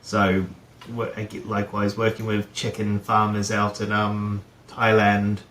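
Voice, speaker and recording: Middle-aged man from New Zealand, low voice, rambling interview guest, echoey room with faint hum. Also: completely flat delivery, measured pace, a bit bright. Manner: rambling, many fillers and restarts. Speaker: guest, head of procurement. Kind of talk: casual interview chat.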